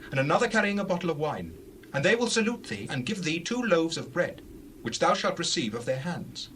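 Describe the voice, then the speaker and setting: A man playing an aged, authoritative Hebrew prophet in a dramatized scripture reading, speaking in solemn, deliberate pronouncements. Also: fairly high pitch, a bit bright, a little hiss or hum, low-quality recording.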